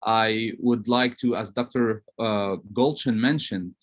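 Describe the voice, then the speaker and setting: A man's voice: lowish voice, fairly even intonation, takes it slowly. A man from Lebanon giving a semi-formal online lecture as a professor.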